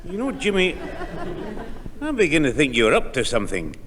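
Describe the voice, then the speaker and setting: A man's voice: fairly high pitch, huge pitch swings, a little fast, fairly bright tone, a faint crowd. A man playing a grumbling, suspicious old grandad with a hesitant delivery in a 1960s radio sitcom. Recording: old radio broadcast, echoey, hissy.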